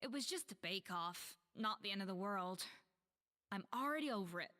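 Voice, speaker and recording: A woman's voice: mid-range voice, animated, normal speed, pushed and bright. A woman playing a proud, principled young head chef in an anime-style video game, composed and earnest with a weary restraint. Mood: resigned.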